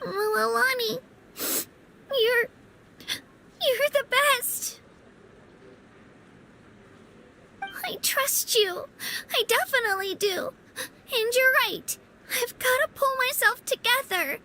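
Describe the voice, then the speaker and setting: Very high, anxious little girl. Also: slow, pauses often, pushed and bright, a little hiss or hum, full character voice. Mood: tearful, resolving. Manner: hesitant, earnest. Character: small, anxious, earnest young girl warrior. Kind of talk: video game voice acting. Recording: music behind the voice.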